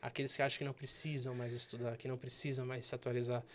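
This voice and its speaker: A man, a guest banker sharing stories in a casual interview with animated delivery and frequent fillers. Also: mid-range voice, racing, slight background noise.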